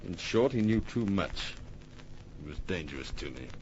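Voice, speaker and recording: A deep, suave, murderous radio villain. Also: male voice, lively intonation. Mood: smug, cold. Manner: polite, menacing, deliberate. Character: suave, cold-blooded murderous impostor twin with a gun. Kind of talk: old-time radio crime drama acting. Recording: muffled, noisy old radio recording.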